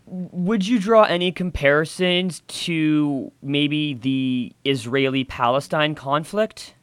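A man hosting a radio show, asking measured, polite questions and signing off the program. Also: fairly high pitch, fairly bright tone, smooth, no room sound. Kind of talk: radio interview and sign-off.

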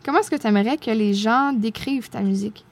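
Halting woman interviewer. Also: on the high side. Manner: halting, restarts her questions. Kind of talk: casual interview questions.

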